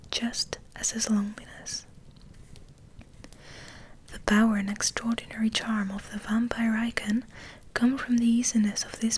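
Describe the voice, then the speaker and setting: A woman ASMR host speaking in a gentle, breathy whisper, hushed and unhurried, as if rambling softly to her listeners. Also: mid-range voice, slight background noise, low-quality recording. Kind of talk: ASMR, soft rambling chat and reading aloud.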